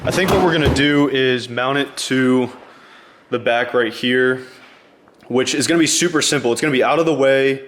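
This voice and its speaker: A man's voice: medium pitch, normal speed, a bit bright. Laid-back man explaining a car install. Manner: laid-back, rambling with fillers. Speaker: channel host. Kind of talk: casual how-to vlog. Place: garage.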